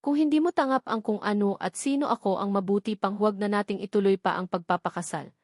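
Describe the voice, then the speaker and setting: A woman's voice: medium pitch, fast talker, darkish tone, dry recording, studio quality, close to an everyday voice. A woman voicing a feisty, defiant young fiancée in a romance audio novel, indignant and argumentative. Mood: angry.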